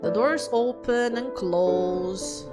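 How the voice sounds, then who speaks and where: A woman's voice: mid-range voice, very slow. A woman streamer reading a visual novel aloud and commenting casually, with playful, slangy asides. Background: clear background music.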